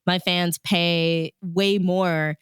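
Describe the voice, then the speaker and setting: An American woman chatting casually in an interview, animated and informal, peppering her talk with "like" and "you know". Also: fairly low pitch, very slow, clean tone, no room sound, studio quality. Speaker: radio DJ and music supervisor, interview guest.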